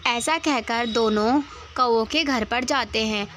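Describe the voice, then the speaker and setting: A woman's voice: on the high side, measured pace, fairly bright tone, slight background noise. A woman narrating a scripted children's cartoon story as the storyteller.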